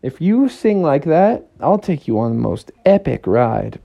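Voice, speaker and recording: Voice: mid-range voice, unhurried, vocal fry, no echo, highs cut off, heavily acted. A storyteller voicing a big, easygoing male elephant that can fly, in a bedtime story told to a child. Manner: easygoing, relaxed. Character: big friendly male flying elephant, laid-back.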